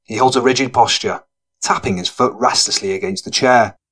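A man's voice: medium pitch, moderate pace, a bit bright. A man reading scripted narration for a gritty fiction audiobook.

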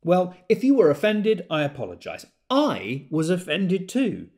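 A man's voice: high-pitched, wildly swooping pitch, a little slow, darkish tone, heavily acted. An actor playing a pedantic, prickly, indignant man arguing pompously in an audio drama. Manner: pompous, argumentative.

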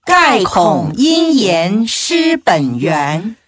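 A woman giving a solemn, measured and expressive recitation of poetry. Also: medium pitch, no echo. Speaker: verse reciter.

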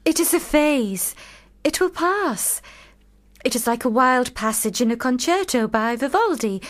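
A woman's voice: sits high, expressive, unhurried. An actress in a radio play as a proper young woman, a prim and composed older sister given to admonishing. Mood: soothing.

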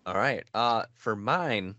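A man's voice: on the low side, lively intonation, slow, bright, forceful tone, dry recording, muffled recording. A man in his thirties talking casually as a co-host of a gaming podcast. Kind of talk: casual video game chat. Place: home room, video call.

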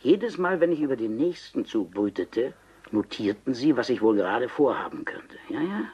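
An actor playing a thoughtful, earnest older gentleman, speaking deliberately in a German radio play. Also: medium pitch, lively intonation, breathy edge, highs cut off. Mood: insistent. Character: thoughtful older wine connoisseur, earnest chess partner.

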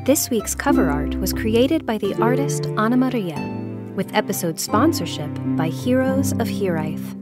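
A woman's voice: on the high side, expressive, moderate pace. A woman announcer reading a scripted introduction for an audio drama. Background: prominent instrumental music.

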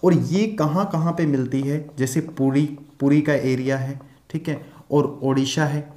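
A young man teaching a zoology class at a whiteboard, explaining slowly and repeating key terms as he writes. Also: fairly high pitch, steady tone, darkish tone. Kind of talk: whiteboard lecture mixing Hindi and English. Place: small room with a whiteboard. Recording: echoey room.

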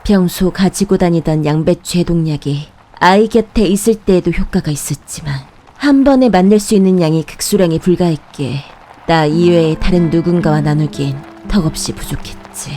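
A woman playing a brooding witch, narrating a dark fairy tale in a measured, ominous, matter-of-fact manner. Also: fairly low pitch, slight background noise, lightly acted. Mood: regretful. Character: brooding witch who raises a child in hiding. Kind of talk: scripted first-person horror fairy-tale narration.

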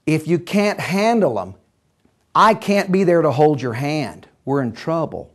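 A man voicing a shrewd old business tycoon, calm, measured and authoritative, in a told story. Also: fairly high pitch. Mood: stern, measured.

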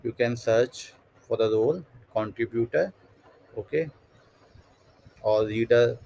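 A man giving a screen-recorded software tutorial, thinking aloud step by step with many fillers and restarts. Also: medium pitch, slow pace, vocal fry, rough recording. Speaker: tutorial instructor.

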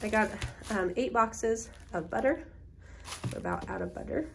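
A woman's voice: a little high, lively intonation, moderate pace, gravelly, slight background noise. A woman in her thirties, recovering from a cold with a strained voice, chatting casually in a home grocery haul vlog filmed on a phone in her kitchen. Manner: chatty, many ums, homey and upbeat. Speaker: vlogger. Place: farmhouse kitchen, indoors. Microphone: phone camera at arm's length.

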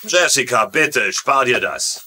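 A man's voice: medium pitch, animated, slow, bright, forceful tone, slight background noise, full character voice. An actor playing a hot-headed, defensive father in a dubbed TV mystery, speaking bluntly and irritably. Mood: angry, defiant. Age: middle-aged. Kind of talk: dubbed TV mystery drama acting.

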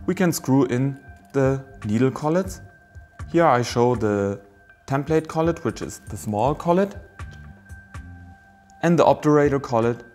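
A man in his thirties giving a scripted, formal, step-by-step instructional presentation of a product in a measured way. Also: medium pitch, darkish tone. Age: 30s.

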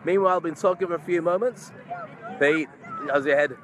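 A man's voice: a little high, brisk. A middle-aged man chatting casually and effusively to his followers in a live vlog, speaking into a phone held at arm's length. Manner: effusive, chummy. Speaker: vlogger, entrepreneur and stage hypnotist. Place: large open park outdoors among crowds. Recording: noisy outdoor night crowd ambience.

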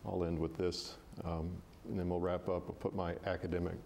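Academic host wrapping up a student event, deep voice, courteous. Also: male voice, flat delivery, brisk, creaky, a little mellow, slight background noise. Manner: friendly, formal thank-yous. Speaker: moderator, professor. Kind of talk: moderating a campus talk.

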